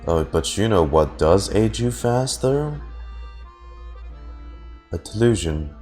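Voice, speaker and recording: A man's voice: lowish voice, animated, slow pace, dark tone, soft background music. A man giving casual gameplay reaction commentary, musing and rambling playfully as he streams. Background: video game audio.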